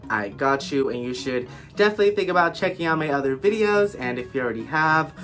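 A man's voice: fairly high pitch, expressive, fast, a bit bright, faint music behind. A young man in his twenties, congested and nasal from a cold, giving a chatty, upbeat tour of garden plants. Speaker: plant vlogger. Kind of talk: casual plant tour voice-over. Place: indoor room with plants.

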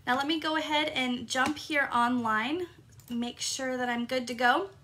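A woman in her thirties hosting a live crafting tutorial from her home craft room, upbeat and a little hoarse, greeting viewers by name. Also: sits high, unhurried, bright, forceful tone, a little hiss or hum. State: slightly hoarse, recovering from lost voice. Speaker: crafting demonstrator and host.

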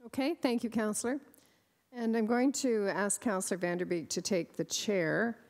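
A woman's voice: medium pitch, normal speed, a little mellow, big-room sound. A woman chairing a council committee, speaking firmly and pressing a point about housing. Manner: emphatic, firm. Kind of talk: council meeting remarks. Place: council chamber.

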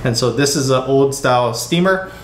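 A man's voice: medium pitch, lively intonation, normal speed. A man, giving a casual cooking demonstration in a home kitchen. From Hawaii, US. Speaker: home cook demonstrating a recipe. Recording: roomy kitchen echo with background noise.

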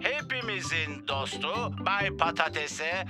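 A man's voice: a little high, expressive, slow pace, music in the background. A man voicing a jolly, theatrical giant potato who presents on children's cartoon TV, grandly enunciated and exclamatory. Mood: showy, encouraging.

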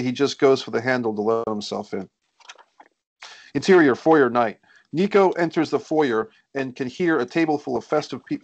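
Man, middle pitch, scripted narration, screenplay action lines, table read. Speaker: stage directions reader.

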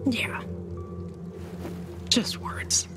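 A woman playing a tough, guarded fighter in her thirties in a video game, speaking in a hushed, wry and bitter way. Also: very slow, in a whisper, over music. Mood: wistful, guarded. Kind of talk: intimate cinematic game dialogue.

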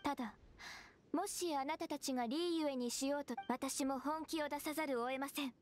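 A woman playing a gentle, earnest young secretary who speaks politely and formally in an anime game. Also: sits high, moderate pace, close to an everyday voice. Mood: stern, polite. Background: game music.

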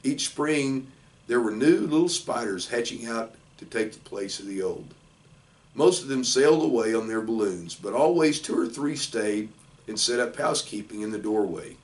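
A man's voice: medium pitch, lively intonation, moderate pace. Older man reading, off the mic. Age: older, around 60. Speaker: football coach reading a children's book aloud. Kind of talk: storybook read-aloud. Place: small indoor office. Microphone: camera a few feet away. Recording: distant microphone in a room.

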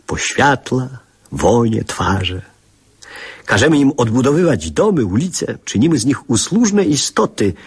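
A nostalgic, reflective man narrates a literary radio drama. His low voice is very husky, and he speaks slowly and lyrically with wide, expressive swings in pitch. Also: exaggerated voice. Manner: measured, lyrical, hushed at times.

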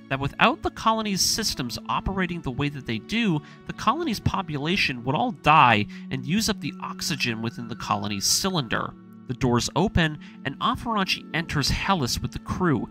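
A man's voice: fairly high pitch, sharp tone. A man narrating a scripted story recap, speaking to his audience as a storyteller and series narrator.

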